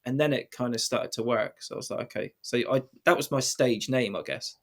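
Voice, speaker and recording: A man with a British accent speaks as a guest in a casual interview. His voice sits at an average pitch, and he tells stories in an informal, self-deprecating manner. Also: a little fast, fairly bright tone. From Britain. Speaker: podcast guest, professional mountain biker.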